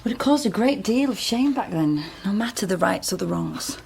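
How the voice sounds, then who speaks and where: A woman's voice: medium pitch, expressive, normal speed, vocal fry, darkish tone, a little hiss or hum. An actress playing a wry, guarded woman investigator in a naturalistic radio drama. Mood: frank, earnest. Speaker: lead actor in a radio drama.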